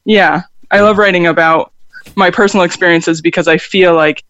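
A woman's voice: on the low side, steady tone, normal speed, a bit bright, dry recording, dull audio. Woman, American accent, songwriter guest, hesitant, many fillers, dry recording. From upstate New York, raised in Vermont. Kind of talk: interview conversation.

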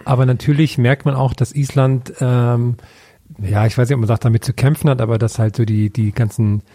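A man's voice: mid-range voice, steady tone, a little fast, dark tone. A man co-hosting a podcast in casual chat, talking hesitantly and searching for words. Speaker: podcast co-host.

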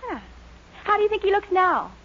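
Very high-pitched, fretful, bossy girl. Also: sing-song, brisk. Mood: fretful, scolding. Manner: scolding, exasperated. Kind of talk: 1940s radio sitcom acting. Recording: noisy, muffled 1940s radio with audience.

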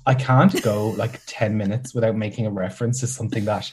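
A young man with an Irish accent and a somewhat low voice talks casually as a podcast guest. He is dry and sarcastic, and he often makes fun of himself. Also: fairly even intonation, brisk, slight background noise. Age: young adult. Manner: sarcastic, joking, self-mocking. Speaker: guest, content creator.

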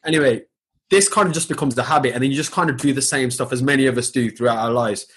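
A man's voice: medium pitch, fast, fairly bright tone, slightly rough. A man talking as a guest on a podcast, reflective and sincere, with fillers like "like" and "um". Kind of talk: casual interview chat.

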